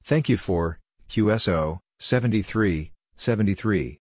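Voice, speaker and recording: A low-voiced man narrates a practice drill in short scripted phrases. His words are deliberate and separate, and the sound is dry and close, with very little room. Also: expressive, darkish tone, dry recording. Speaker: Morse code practice narrator.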